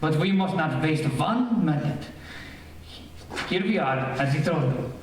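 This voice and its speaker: An actor playing a shrewd, resolute scientist, firm and formal, in old-time radio serial style. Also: male voice, fairly high pitch, lively intonation, a little slow. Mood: urgent. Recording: very echoey distant stage recording, faint noise.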